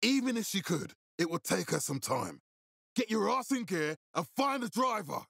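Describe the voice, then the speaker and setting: A man voicing a grave, calculating militia commander in a video game, with a husky, authoritative and deliberate delivery. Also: high-pitched, big pitch swings, a bit bright, no echo, studio quality, full character voice. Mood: urgent, agitated. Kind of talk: video game character dialogue.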